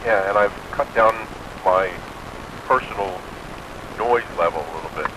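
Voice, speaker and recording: A man's voice: deep voice, normal speed. A man operating a two-way radio, talking casually on a stock microphone as he checks his signal. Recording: noisy two-way radio transmission, stock mic.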